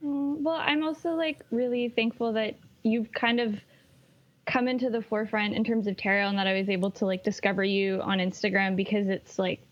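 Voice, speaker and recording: A woman tarot reader as a podcast guest, speaking softly and earnestly, with "like" used often. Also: mid-range voice, fairly even intonation, a little fast, highs cut off. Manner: soft, earnest, heavy use of "like". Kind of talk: casual interview conversation.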